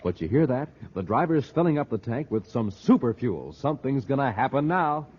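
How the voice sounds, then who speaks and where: A man working as a 1950s radio announcer, delivering a punchy, exuberant commercial pitch aimed at youngsters. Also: very animated, a little fast, background noise. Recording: slightly muffled vintage radio recording.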